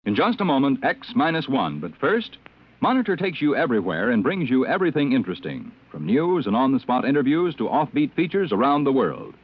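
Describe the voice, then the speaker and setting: A man's voice: mid-range voice, sing-song, fairly quick. A man reading a scripted 1950s radio promo as a polished network announcer. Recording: dull vintage radio recording.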